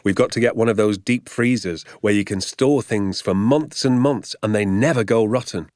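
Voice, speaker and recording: A man's voice: lowish voice, normal speed, darkish tone, dry recording, studio quality, lightly acted. A man voicing an exuberant, boastful father in a storybook, grandly emphatic and stretching his words with relish. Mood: eager.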